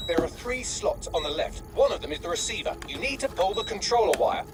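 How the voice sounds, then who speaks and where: An actor voicing a witty, sarcastic AI assistant with a dry, smug manner. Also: male voice, high-pitched, lively intonation, a little fast, heavy background noise. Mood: smug, unruffled. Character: sardonic, witty male AI assistant.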